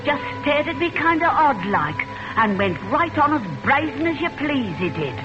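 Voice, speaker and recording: A gossipy high-voiced maid witness. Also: female voice, very animated, normal speed. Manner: chatty, folksy, emphatic. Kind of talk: 1940s radio acting. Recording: dull old radio recording with music.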